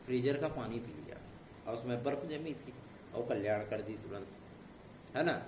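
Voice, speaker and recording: A hoarse man from northern India gives an earnest, reassuring exam-news update, often checking in with his listeners. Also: medium pitch, moderate pace, a little mellow, recorded from a distance, slight background noise. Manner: earnest, reassuring, with repeated tag questions. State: hoarse from a sore throat. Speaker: exam news channel host. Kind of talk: casual direct-to-camera update. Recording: echoey room, voice off-mic.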